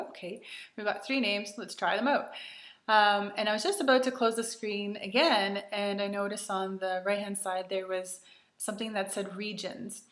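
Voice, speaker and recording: Woman, thirties, mid-pitched, earnest chatty storyteller with lots of ums, recorded in an echoey room. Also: fairly bright tone. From Canada. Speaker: retreat host. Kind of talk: personal storytelling to camera.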